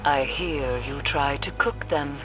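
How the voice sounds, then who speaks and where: A woman playing a stern, cryptic mystic in a video game, with grave, formal, deliberate phrasing. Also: very deep voice, over music. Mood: solemn, guarded.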